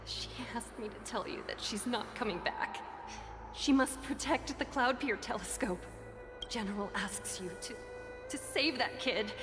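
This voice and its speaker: A woman's voice: a little high, close to an everyday voice. An actress playing a grave, shaken young woman bringing word from a battlefield in a video game, heard in long reverb. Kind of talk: dramatic video game voice acting. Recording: long cavernous reverb, music.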